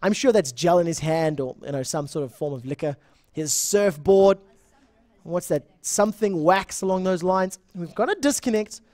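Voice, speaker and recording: Chatty South African esports caster. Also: male voice, high voice, lively intonation, normal speed, darkish tone, no room sound. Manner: chatty, rambling, self-correcting, talks to chat viewers. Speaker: esports shoutcaster. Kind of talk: live game commentary.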